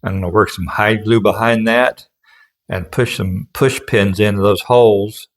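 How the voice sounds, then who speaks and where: A man's voice: fairly low pitch, steady tone, measured pace. A man giving casual, plain-spoken how-to narration as a craftsman, explaining things step by step in a folksy way. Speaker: furniture restorer and instructor.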